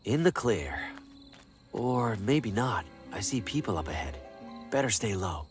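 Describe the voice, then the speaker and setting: An ordinary, slightly awkward adventure game hero. He sounds wary and wry, hesitating often, with animated pitch movement. Music runs softly underneath. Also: unhurried. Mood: musing, cautious. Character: wary, awkward everyman salesman hero, dryly skeptical. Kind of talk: video game voice acting.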